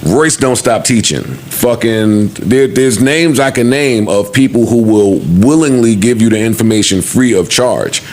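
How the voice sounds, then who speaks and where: A man's voice: mid-range voice, unhurried, few pauses, darkish tone, slight background noise. A middle-aged man in casual podcast talk, streetwise and reflective, with profanity mixed in.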